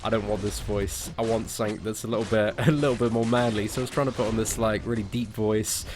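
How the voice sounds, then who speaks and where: Low-voiced British lad streaming games. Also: male voice, fairly even intonation, fast talker, music in the background. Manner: chatty, lots of "like" and "mate", friendly banter. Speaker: livestream host playing a mobile game. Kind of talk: casual gaming livestream chat. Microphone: desk microphone.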